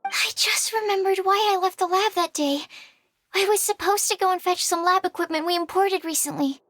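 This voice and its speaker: A woman's voice: extremely high pitch, normal speed, fairly bright tone, no room sound, clean studio sound. A woman voicing a modest, bookish young scientist in a video game, timid and self-effacing in the way she talks. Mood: apologetic. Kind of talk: video game character acting.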